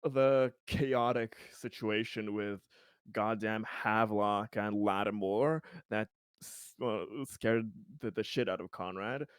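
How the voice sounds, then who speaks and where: A man playing a tabletop roleplaying game, chatting casually in a halting, jokey way, often chuckling. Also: on the low side, clear, resonant tone, no echo. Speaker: tabletop role-playing player.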